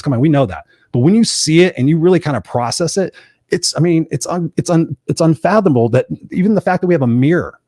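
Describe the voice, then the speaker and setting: A man hosting a live motivational show, telling personal stories in an earnest, energetic way. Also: fairly high pitch, no room sound, studio quality. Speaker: podcast host.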